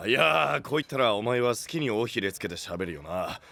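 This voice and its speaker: A man playing a rough, big-brotherly young knight who speaks in blunt slang in an anime-style audio drama. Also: medium pitch, very animated, a little fast, bright, forceful tone, no echo, heavily acted. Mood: flustered, protesting.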